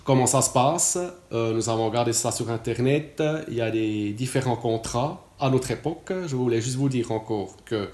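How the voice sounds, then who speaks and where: A man's voice: mid-range voice, moderate pace, few pauses, a little mellow, echoey room. Hesitant Swiss man in his forties. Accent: Swiss French. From Switzerland. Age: 40s. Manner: hesitant, many fillers and restarts. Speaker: client giving a testimonial. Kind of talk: casual spoken testimonial.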